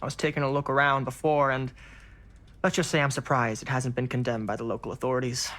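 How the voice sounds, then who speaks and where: An actor playing a gushing, wealthy, chatty film superfan in a video game cinematic, with a fawning, theatrical manner. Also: male voice, medium pitch, level tone, quick pace, fairly bright tone, lightly acted. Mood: wry, casual.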